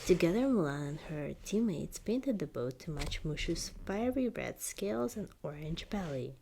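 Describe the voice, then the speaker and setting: A woman reading a children's storybook in ASMR style, soft-spoken and deliberate, often slipping into a whisper. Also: low voice, very animated. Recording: clear background noise.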